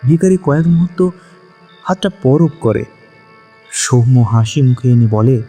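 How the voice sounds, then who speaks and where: A man's voice: medium pitch, expressive, measured pace, warm tone, husky. A man narrating a scripted story in a dramatic style, as in an audio drama. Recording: long hall reverb on voice. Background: music.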